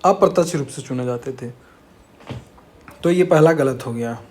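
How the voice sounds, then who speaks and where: A man's voice: medium pitch, normal speed, a little mellow. A man teaching an exam-prep lesson, reading out questions and explaining answers in a didactic, repetitive way. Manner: didactic, repeats key phrases.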